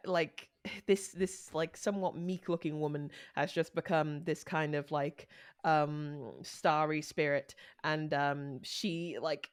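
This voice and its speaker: A woman casually playing a tabletop game, searching for words as she describes her character's actions. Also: sits low. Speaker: player.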